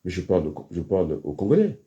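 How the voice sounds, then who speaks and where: A man giving a rambling political monologue, hesitant with many fillers, then bursting into emphatic high outbursts. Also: sing-song, fast talker, dark tone, lo-fi sound. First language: French. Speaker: political commentator.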